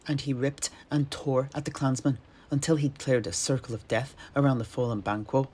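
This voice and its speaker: A man narrating a fantasy story dramatically, in measured, ornate phrases. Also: mid-range voice, steady tone, heavy background noise.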